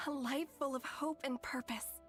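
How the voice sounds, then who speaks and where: A woman's voice: high voice, fairly even intonation, normal speed, natural voice. An actress voicing a naive young woman who earnestly gushes about her faith. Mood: gushing. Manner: earnest and gushing. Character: naive devoted young woman, gushing believer. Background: game music.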